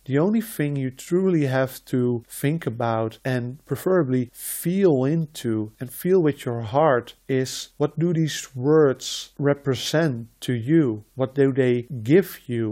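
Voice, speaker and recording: A man from the Netherlands, a shaman hosting a podcast, speaking in a slow, measured, reflective way with repeated phrases. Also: medium pitch, warm tone. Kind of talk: slow reflective spiritual talk.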